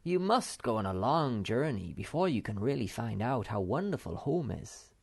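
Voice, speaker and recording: A woman voicing a wistful, unhurried male wanderer who speaks in a slow, reflective, homespun way. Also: very deep voice, very animated, creaky, a little mellow, slightly rough, heavily acted.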